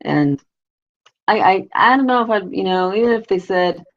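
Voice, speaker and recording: A woman with a low, raspy voice hosting a casual live video show. She rambles crudely and leans on constant "you know" fillers. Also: lively intonation, normal speed, dull audio. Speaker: vlogger, show host.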